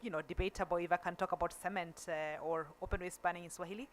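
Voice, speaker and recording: A woman's voice: on the low side, fairly even intonation, slight background noise. Woman giving a conference talk. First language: Kamba. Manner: deliberate and slow, with fillers and restarts. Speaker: conference speaker. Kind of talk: conference presentation.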